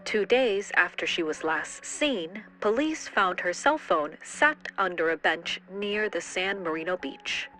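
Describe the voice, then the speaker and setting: A woman's voice: fairly low pitch, animated, measured pace, few pauses, pushed and bright, faint music behind. An actress playing a female true-crime reporter who gives scripted, serious narration. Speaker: narrator and interviewer.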